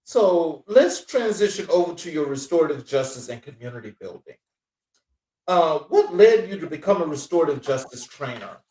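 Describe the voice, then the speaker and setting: A man's voice: on the high side, big pitch swings, normal speed, darkish tone. A man interviewing a guest, asking short questions and giving brief reactions. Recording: roomy, distant mic.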